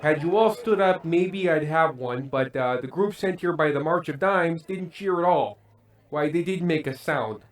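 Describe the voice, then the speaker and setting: A man playing a hesitant, uneasy old-fashioned TV variety host with halting, folksy patter, in the style of an old-time radio play. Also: fairly high pitch, close to an everyday voice. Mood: folksy, awkward showman.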